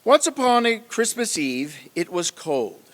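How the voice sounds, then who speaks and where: A man playing a genial Victorian author who tells his tale in a deliberate, dramatic manner for a staged radio play. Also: high-pitched, very animated, slight background noise. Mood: dramatic narrating. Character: genial, theatrical male Victorian author and storyteller. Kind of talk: old-time radio play narration on stage. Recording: long hall reverb, music behind.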